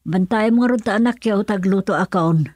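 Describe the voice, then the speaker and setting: A woman's voice: medium pitch, a little fast, darkish tone, no room sound, studio quality, close to an everyday voice. An actress with an Ilocano accent playing an older mother and grandmother in a radio drama. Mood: matter-of-fact.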